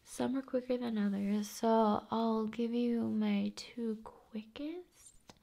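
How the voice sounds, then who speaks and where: Woman, ASMR host, hushed whispers and soft speech, very slow pace, creaky fry, conversational. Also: on the high side, steady tone.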